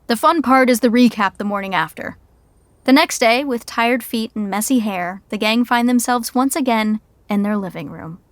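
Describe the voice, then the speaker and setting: A woman's voice: fairly high pitch, moderate pace, no echo, natural voice. A woman narrating a scripted audio sitcom as a wry storyteller who comments on the action. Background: music.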